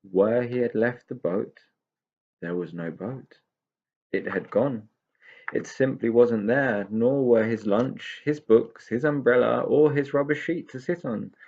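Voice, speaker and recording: A man reading a children's story aloud with expressive narration. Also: medium pitch, gravelly, slightly rough, dull audio.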